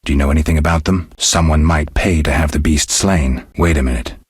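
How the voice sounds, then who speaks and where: A man playing a stoic, world-weary middle-aged monster hunter in a video game, speaking in a gravelly, husky voice with dry, laconic restraint. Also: a bass voice, brisk, dry recording, full character voice. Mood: measured, guarded. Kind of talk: scripted video game character dialogue.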